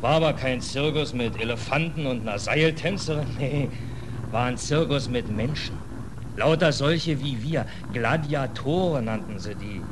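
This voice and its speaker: A man's voice: mid-range voice, normal speed, natural voice. A man playing an embittered, hungry sailor in a 1940s radio drama, brooding and colloquial. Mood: bitter, brooding. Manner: colloquial, brooding, indignant, clipped working-class speech. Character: embittered, hungry stateless sailor on a death ship. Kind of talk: 1940s German radio play acting. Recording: noisy old 1940s radio recording.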